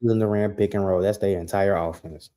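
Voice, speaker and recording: A man's voice: on the low side, steady tone, fairly quick, dark tone. A man co-hosting a sports podcast, explaining basketball points in casual, slangy speech.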